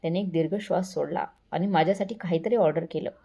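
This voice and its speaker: A woman's voice: on the low side, fast, vocal fry, dark tone. A woman storyteller reading a scripted first-person family story.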